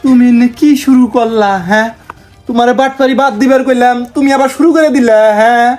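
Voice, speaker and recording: A woman's voice: on the high side, steady tone, a little fast, darkish tone, faint music behind, lightly acted. A woman playing the scolding wife of a village swindler in a comic skit.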